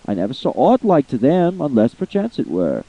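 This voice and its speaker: A man's voice: medium pitch, huge pitch swings, moderate pace, warm tone, breathy edge, muffled recording, heavily acted. A man voicing an old holy hermit who asks wondering, archaic questions in a raised voice.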